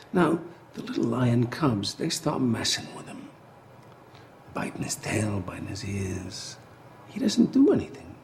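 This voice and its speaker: An actor playing a menacing man telling a slow, dramatic story, dropping into whispers. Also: on the low side, gravelly, a little hiss or hum, full character voice.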